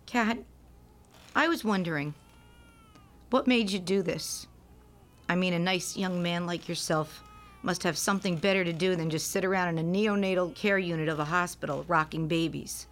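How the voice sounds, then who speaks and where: An actress playing a tender, fragile young mother of a sick newborn in a radio drama, earnest and expressive. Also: lowish voice, moderate pace, lightly acted. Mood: tender, grateful.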